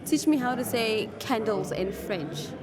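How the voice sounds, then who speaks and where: A woman's voice: fairly high pitch, expressive, a little slow, over music. A woman hosting a casual, playful interview in a chatty, jokey, giggly manner. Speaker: show host, interviewer. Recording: crowd chatter behind the voice.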